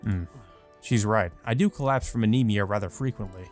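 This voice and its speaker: A man's voice: fairly low pitch, brisk. A man reading first-person visual novel narration aloud as a let's player. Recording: music behind the voice. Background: music.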